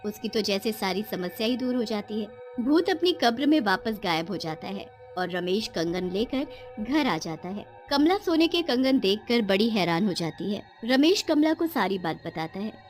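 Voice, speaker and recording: A woman narrator reading a scripted animated moral tale for listeners. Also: mid-range voice, normal speed, soft background music.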